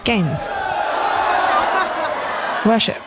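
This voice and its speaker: A woman's voice: drawn-out words, over a noisy crowd, very noisy. A young woman, a youth camp leader, narrating a reflective voice-over about camp in an earnest way. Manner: earnest, reflective, laughs lightly.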